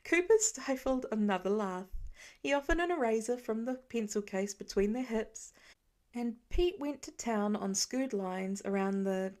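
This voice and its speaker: A woman's voice: on the high side, animated, unhurried, a little mellow. A woman reading a scripted story aloud as an audiobook narrator. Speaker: fan fiction narrator.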